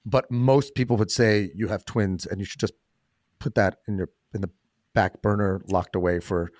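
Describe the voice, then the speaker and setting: A man's voice: fairly low pitch, steady tone, moderate pace, darkish tone, dry recording, clean studio sound. A man hosting a podcast, chatting casually and making joking asides. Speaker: podcast host. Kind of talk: casual interview chat.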